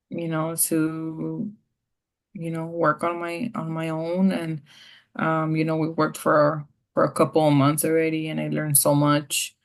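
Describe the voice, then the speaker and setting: A woman playing a client in a practice therapy session over a video call, soft-spoken with many fillers. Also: deep voice. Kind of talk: role-played therapy session.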